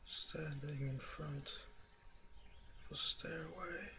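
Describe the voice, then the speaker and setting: A man narrating a guided sleep visualization in a soft, breathy, often whispered voice, calmly and deliberately, with long pauses between short phrases. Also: a little high. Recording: clearly noisy recording with ambient sound.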